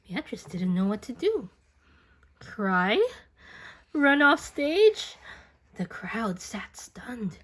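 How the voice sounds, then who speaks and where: Female children's read-aloud narrator: unhurried, expressive, swooping pitch, dramatic touches. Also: husky. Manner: expressive, dramatic, with whispers and playful sound effects. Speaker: picture book reader.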